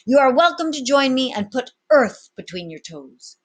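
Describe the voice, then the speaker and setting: A storybook pirate captain, acted out by a woman reader, addresses his crew like an orator. The speech is florid and commanding, pitched up and projected with grand self-assurance. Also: big pitch swings, moderate pace. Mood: proud, inviting.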